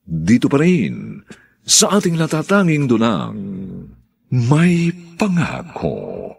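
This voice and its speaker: A Filipino man announcing and narrating a radio drama in a theatrical, grandiloquent, solemn style with drawn-out emphatic phrases. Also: medium pitch, huge pitch swings, gravelly, husky.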